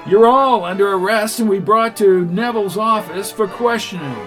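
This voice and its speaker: A man voicing a harsh male guard who barks loud orders. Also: high-pitched, moderate pace, faint music behind, pitched above the performer's own voice. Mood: commanding.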